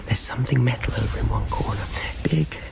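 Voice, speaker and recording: A man's voice: lowish voice, fairly quick, vocal fry, over loud music. An actor in a radio drama playing a fearful, unhinged religious man in hiding, muttering, repeating himself and preaching in a pleading way. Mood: hushed, secretive. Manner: muttering, repetitive, sing-song preaching between pleading and whispers.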